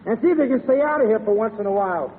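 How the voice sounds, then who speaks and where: An actor playing a curt, slangy guardhouse sergeant who barks orders in a 1930s radio drama. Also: male voice, high-pitched, animated, racing. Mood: gruff, curt. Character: gruff, bossy army sergeant guarding prisoners. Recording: tinny, echoing, noisy 1930s radio broadcast.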